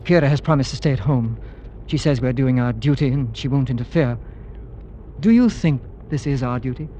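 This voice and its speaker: An actor playing a bold, excitable monster hunter in an emphatic, theatrical 1940s radio drama style. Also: male voice, mid-range voice, normal speed, natural voice. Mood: earnest, intent. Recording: slightly noisy vintage radio recording.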